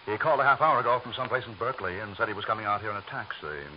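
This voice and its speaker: An actor playing a friendly, easygoing motel keeper in a 1940s radio drama. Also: male voice, fairly low pitch, rapid-fire, natural voice. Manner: easygoing, folksy. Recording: noisy dull old radio broadcast.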